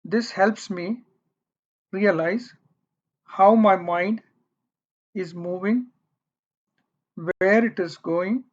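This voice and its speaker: Very slow meditation guide, muffled recording. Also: medium pitch. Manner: gentle, deliberate phrasing with long pauses. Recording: dull, muffled lo-fi audio.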